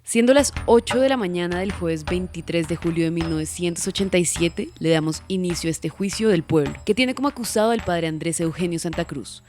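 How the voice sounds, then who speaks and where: A woman plays a severe lady judge in a radio drama, formal and commanding. Her voice is fairly low, with faint music behind it. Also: fairly quick, hardly any pauses, a little mellow, natural voice. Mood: cold, formal. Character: severe, authoritative lady judge of a kangaroo trial. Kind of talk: acted audio drama.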